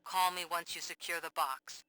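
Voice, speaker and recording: An actress playing a defiant, distrustful investigative reporter in a video game, speaking in a pointed, challenging way. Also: female voice, on the low side, steady tone, moderate pace, sharp tone, clear, resonant tone, low-quality recording. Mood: wary, insistent. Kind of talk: video game cutscene acting.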